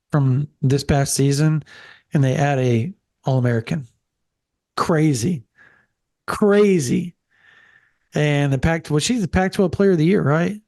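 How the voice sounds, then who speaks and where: A man co-hosting a casual sports podcast, sounding nasal and stuffed up from allergies, with an animated, drawling way of talking. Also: fairly high pitch, no room sound. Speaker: podcast co-host.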